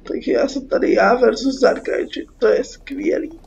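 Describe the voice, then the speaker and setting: A woman's voice: sits high, creaky, darkish tone. A young Czech woman giving casual, sarcastic let's play commentary, informal and sweary. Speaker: gaming YouTuber. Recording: dull lo-fi mic with faint noise.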